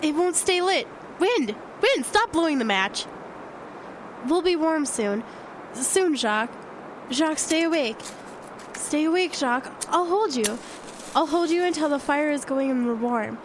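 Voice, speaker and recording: A woman's voice: high voice, animated, measured pace. An actress playing a determined, devoted but frightened woman in a blizzard, urgent and pleading, in a horror audio drama. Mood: anxious, soothing. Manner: urgent, pleading, repeating herself. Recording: noisy wind and effects bed.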